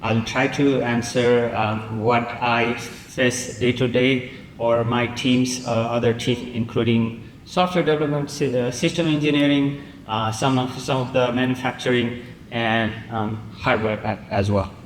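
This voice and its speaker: A man from Nepal speaks as a panel guest, halting and full of fillers and pauses. His voice rings out in a large hall with a long reverb and a faint hiss behind it. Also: medium pitch, fairly even intonation. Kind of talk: conference panel answers. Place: large conference room.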